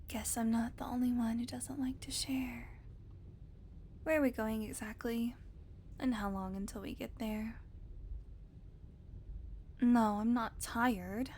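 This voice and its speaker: A woman playing a weakened, wry female vampire in an audio roleplay, halting and tired, with breathy, teasing asides. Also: a little high, a little mellow, a little hiss or hum. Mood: subdued, musing, then exhausted, softening. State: weak, tired. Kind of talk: audio roleplay acting addressed to listener.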